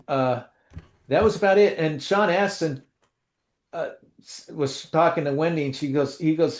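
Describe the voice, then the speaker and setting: A man's voice: medium pitch, darkish tone, echoey room. A man co-hosting a casual chat, telling rambling, self-deprecating stories with lots of restarts. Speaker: co-host.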